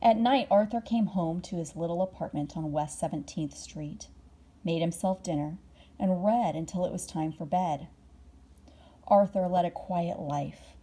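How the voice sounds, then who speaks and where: A woman reading a children's bedtime story aloud expressively, drawing out words and dropping into hushed moments. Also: fairly low pitch, a little slow, slightly rough. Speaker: storybook reader.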